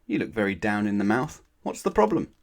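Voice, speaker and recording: A man's voice: fairly low pitch, big pitch swings, fairly quick. A man voicing a cheery, meddlesome, long-nosed storybook busybody with a jovial, nosy manner. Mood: jovial, prying.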